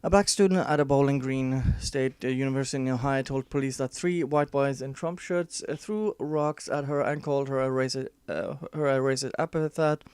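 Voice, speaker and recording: A man's voice: mid-range voice, steady tone, normal speed, hardly any pauses, a little mellow, clear, resonant tone, dry recording. A man whose first language is not English, giving casual commentary and reading an article aloud, with many fillers and restarts and dry sarcastic asides.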